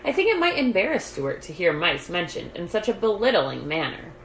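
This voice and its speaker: Storybook mum, a woman reader acting: prim, concerned, emphatic, slightly creaky, roomy recording. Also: on the low side, very animated, a little fast. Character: prim, caring storybook mother. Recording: roomy echo, light background noise.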